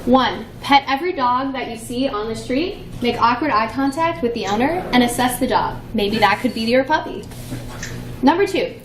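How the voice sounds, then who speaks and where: A woman's voice: on the high side, a little hiss or hum. A young woman giving a conference talk, playful and chatty with many fillers. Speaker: conference presenter. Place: conference room. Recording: echoey room from far off.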